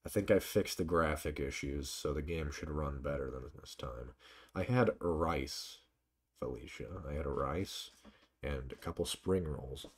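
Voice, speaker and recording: Very deep-voiced man streaming casually. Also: a little slow, slightly rough. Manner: playful, joking. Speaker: game streamer. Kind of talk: casual live-stream chat and commentary.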